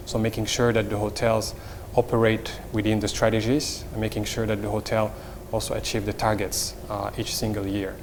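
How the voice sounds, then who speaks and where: A man's voice: lowish voice, flat delivery, fairly quick, big-room sound. A middle-aged man with a Moroccan accent gives semi-formal interview answers, repeating words as he explains. His intonation stays fairly level, and his voice rings in a large room with faint background noise. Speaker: hotel company executive, interview guest.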